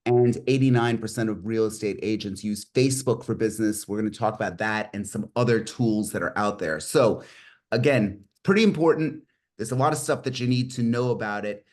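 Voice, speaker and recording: A man's voice: lowish voice, a little fast, a little mellow. A middle-aged man hosting an online group meeting on a video call, reading statistics and joking with participants, often restarting his sentences. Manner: rambling, restarts, jokey with participants. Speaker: meeting host.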